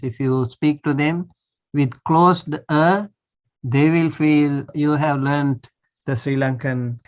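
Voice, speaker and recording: A man teaches a class as a monk over a video call. His voice sits a little high and he speaks slowly and deliberately. He stops often to check that his students follow. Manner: patient, checks understanding often. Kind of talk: online language class.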